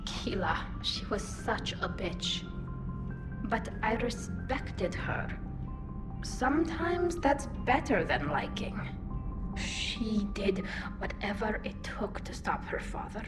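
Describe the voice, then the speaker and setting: An actress voicing a tipsy young alien woman in a video game, halting and rambling, sardonic and sentimental. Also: mid-range voice, sing-song. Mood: tipsy, rueful. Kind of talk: video game dialogue acting. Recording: roomy, with loud music behind.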